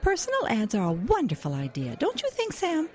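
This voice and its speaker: A woman's voice: a little high, wildly swooping pitch, brisk, darkish tone. An actress playing a flirty, breezy single woman in an audio drama, playful and theatrical. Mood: breezy, defensive.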